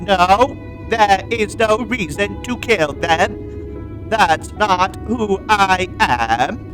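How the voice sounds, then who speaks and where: A woman's voice: medium pitch, lively intonation, extremely slow, music in the background. A woman playing a loyal, half-converted cyborg soldier in a sci-fi audio drama, speaking in a stilted, solemn way. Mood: firm, solemn.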